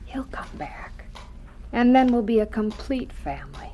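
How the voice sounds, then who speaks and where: A woman playing a gentle, devout mother in a radio drama, speaking in a tender, soothing, earnest way. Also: a little high, expressive, a little slow, slight background noise.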